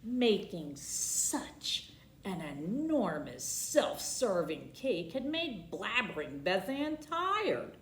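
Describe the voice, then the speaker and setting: A woman's voice: mid-range voice, big pitch swings, extremely slow, slight background noise. A woman reading a picture book aloud for children at storytime, theatrical and leaning on the colourful words. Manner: theatrical, emphasizing alliterative words. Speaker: library storytime reader. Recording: roomy, echoing sound.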